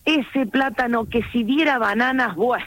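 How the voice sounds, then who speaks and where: A woman's voice: a little high, measured pace, fairly bright tone, no echo. A woman with an Argentine accent chatting playfully on the radio as a columnist, joking and complaining in jest. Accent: Argentine Spanish. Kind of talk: casual radio chat.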